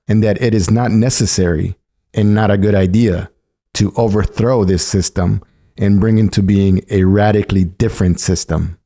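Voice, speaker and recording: A man's voice: on the low side, mellow. A man reading a scripted political polemic as narration. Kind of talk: scripted narration, polemical essay read aloud.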